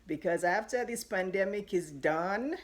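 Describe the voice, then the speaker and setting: A woman's voice: mid-range voice, expressive, a little slow. A middle-aged woman with a Nigerian accent, giving casual motivational advice as a life coach, chatty and encouraging with light laughs. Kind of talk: casual motivational talk to camera.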